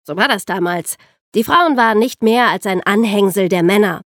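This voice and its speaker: A woman's voice: medium pitch, expressive, moderate pace, no room sound, clean studio sound. A woman co-hosting a podcast, telling history in an explanatory way for young listeners. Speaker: podcast co-host and narrator.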